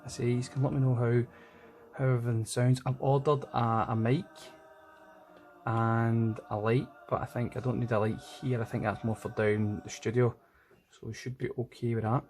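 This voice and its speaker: A Scottish man talks casually through his own artwork, thinking out loud as he goes. His voice sits at an average male pitch, and music plays clearly behind him. The delivery is informal, like an illustrator narrating a vlog. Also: little pitch movement, normal speed. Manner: informal, thinking aloud while drawing. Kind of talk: casual art vlog commentary. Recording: music playing in background. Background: background music.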